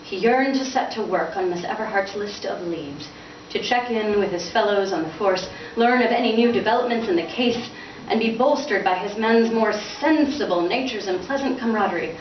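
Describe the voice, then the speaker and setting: A woman author giving an expressive, lightly dramatized live reading of fiction. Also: mid-range voice, normal speed, hardly any pauses, far from the mic. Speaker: author reading from their novel. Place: indoors in a bookstore. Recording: muffled, echoey, noisy room from afar.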